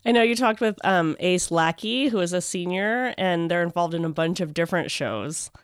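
Woman radio host, smooth clear voice, dry studio sound, calm polished questions. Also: fairly low pitch, moderate pace, no echo. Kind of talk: scripted interview hosting.

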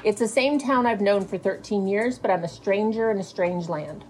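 A woman's voice: medium pitch, normal speed, darkish tone, rough recording. A middle-aged American woman, a schoolteacher, explaining things and reading aloud. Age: middle-aged or older. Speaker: fifth grade teacher. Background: music.

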